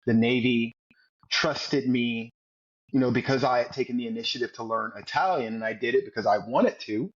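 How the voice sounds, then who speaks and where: A man's voice: medium pitch, moderate pace, breathy edge, highs cut off. A man in his forties, a former Navy SEAL, earnestly telling his life story as a podcast guest, often repeating words as he goes. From United States. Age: 40s. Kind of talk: storytelling interview.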